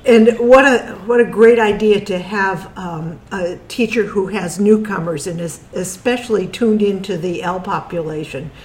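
A woman's voice: medium pitch, normal speed, few pauses, low-quality recording. A woman hosting an interview, enthusiastic as she introduces guests and asks questions. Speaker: host.

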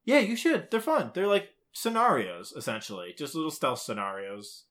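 A man's voice: a little high, sing-song, moderate pace, a bit bright. A man co-hosting a podcast in casual, playful banter, sometimes reading out quiz questions in a teasing way. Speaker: podcast co-host.

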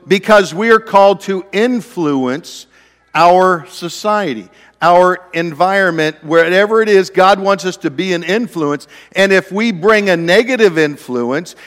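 A man preaching a sermon, conversational and self-deprecating, with a naturally loud voice. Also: sits high, big-room sound. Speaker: pastor.

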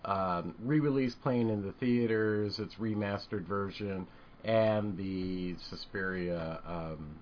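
A man co-hosting a podcast, agreeable and halting with fillers. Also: lowish voice, dull audio, slight background noise. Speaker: podcast co-host. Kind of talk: casual podcast interview.

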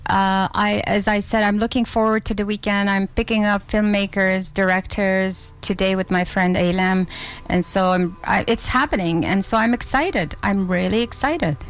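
Woman, earnest, pauses to find words, interview guest. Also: medium pitch, fairly even intonation. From Turkey. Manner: earnest, searching for words, self-taught English. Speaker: film festival organizer. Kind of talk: casual interview.

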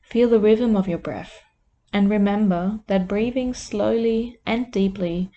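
A woman who hosts a podcast as a life coach, leading a guided meditation in a soft, soothing voice. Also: medium pitch, a little slow, highs cut off.

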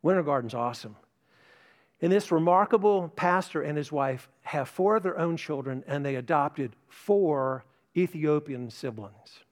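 An older male pastor delivers a sermon in a fairly high voice. He preaches earnestly and emphatically, stacking repeated words as he presses each point on his congregation. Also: expressive, measured pace. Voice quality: mentions tinnitus, no vocal trait.

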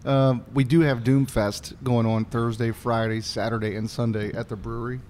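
A man with a voice of middling pitch, worn from singing, talks in a laid-back, friendly way. A faint noise hums behind him. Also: little pitch movement, normal speed, a little mellow. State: losing his voice. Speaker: brewery staff guest. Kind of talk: casual chat.

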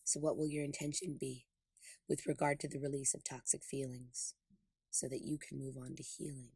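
Low, husky woman guiding a meditation. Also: level tone, moderate pace, a little mellow, no room sound, clean studio sound. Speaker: podcast host and meditation guide. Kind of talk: guided meditation.

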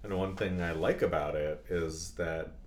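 A man's voice: deep voice, fairly even intonation, unhurried, roomy sound, a little hiss or hum. A man, raised in Bangladesh and Saudi Arabia, chatting casually on a podcast and asking follow-up questions. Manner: thoughtful, restarts sentences, asks follow-up questions. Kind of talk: casual chat.

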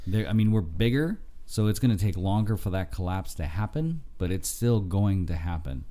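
Deep, mellow-voiced man lecturing earnestly. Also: animated, normal speed. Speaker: podcast co-host. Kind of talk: casual chat.

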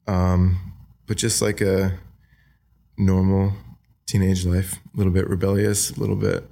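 Deep gravelly young man with American accent. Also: level tone, measured pace. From Australia. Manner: soft-spoken, hesitant, many ums and likes. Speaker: podcast guest. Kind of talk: casual interview talk.

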